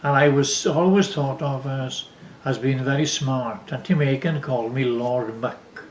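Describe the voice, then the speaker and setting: An older man from Wales telling stories from his life casually, haltingly, repeating words as he recalls them. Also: mid-range voice. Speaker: father telling family stories. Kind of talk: casual storytelling, reminiscing. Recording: roomy, echoey home recording.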